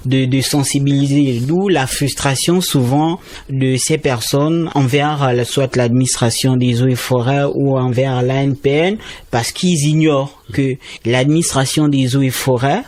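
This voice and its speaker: A man's voice: fairly even intonation, measured pace, a little mellow. A man, a student trainee explaining conservation as a radio interview guest, speaking emphatically. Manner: explanatory, emphatic with rising pitch.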